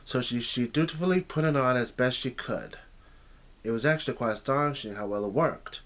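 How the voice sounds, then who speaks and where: A man's voice: mid-range voice, brisk, a bit bright. A man narrating a story as if for an audiobook, occasionally stumbling and repeating phrases as he reads.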